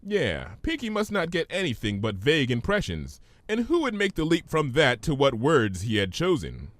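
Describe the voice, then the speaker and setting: A man voicing a calm, bookish female pony princess in a storybook reading, measured and reassuring. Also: a little high, no room sound. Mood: thoughtful. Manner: measured, explanatory.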